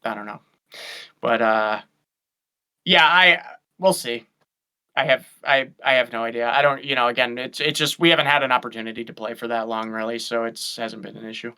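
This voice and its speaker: A man's voice: medium pitch, fairly even intonation, a little fast, pushed and bright, clean tone. A man guesting on a casual chat, thinking aloud with many restarts and fillers. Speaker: guest vocalist. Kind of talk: casual chat over a stream.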